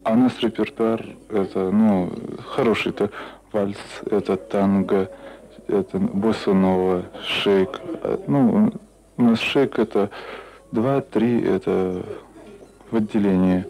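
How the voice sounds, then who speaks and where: Male interviewee, creaky and mellow, halting, hesitant answers. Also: on the low side, steady tone, low-quality recording. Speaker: dance floor organiser being interviewed.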